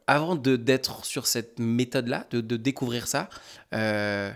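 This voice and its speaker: Relaxed man hosting a podcast. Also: mid-range voice. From Lyon, France. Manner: relaxed, informal, halting questions. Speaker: podcast host. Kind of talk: casual interview conversation.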